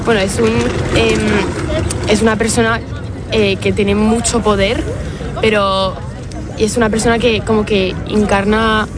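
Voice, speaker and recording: A woman's voice: medium pitch, flat delivery, unhurried. A young French woman, a university student, speaking fluently and casually in a street interview outdoors. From France. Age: young adult. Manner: fluent, casual, with fillers like "o sea". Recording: loud crowd and street noise.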